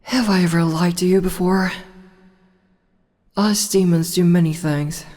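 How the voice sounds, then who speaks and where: A woman's voice: lowish voice, fairly even intonation, a little mellow, in a large hall, lightly acted. A woman voicing a haughty, commanding demon empress who teases in a condescending way, in an audio roleplay. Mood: teasing. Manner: condescending, slow and teasing. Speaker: voice actress.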